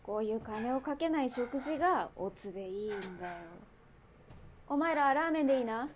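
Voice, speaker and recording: A woman playing a brash, bossy underworld boss in a radio drama, with rough, masculine, commanding speech. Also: fairly high pitch, animated, moderate pace, slight background noise, natural voice. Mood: gruff.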